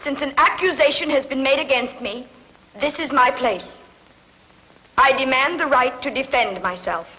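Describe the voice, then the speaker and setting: A woman's voice: high voice, moderate pace, sharp tone, rough recording. A woman dubbing a proud, calculating ancient queen in a formal, imperious manner for a film drama. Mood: defiant, formal.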